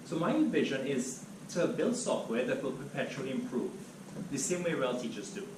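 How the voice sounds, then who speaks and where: A man's voice: mid-range voice, normal speed. A man from Trinidad and Tobago, giving an academic seminar talk as guest speaker in a lecture room. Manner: animated, steady lecturing. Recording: echoey room, distant mic, background noise.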